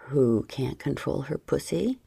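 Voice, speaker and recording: A woman's voice: expressive, creaky, mellow, breathy edge, no echo, exaggerated voice. A woman voicing a commanding, teasing adult male lover in an audiobook. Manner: low, slow, dominant and teasing.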